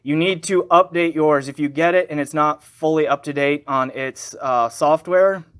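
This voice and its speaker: A man's voice: fairly high pitch, normal speed, fairly bright tone, clear, resonant tone. A man giving a casual, unscripted product review, talking conversationally and thinking aloud as he corrects himself. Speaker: gear reviewer and channel host.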